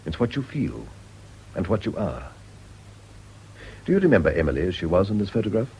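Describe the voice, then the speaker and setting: An actor playing a brooding, sharp-tongued wealthy gentleman in a radio drama, with a theatrical, clipped, intense delivery. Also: low voice, sing-song, a little fast. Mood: subdued, wistful. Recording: faintly noisy radio drama recording.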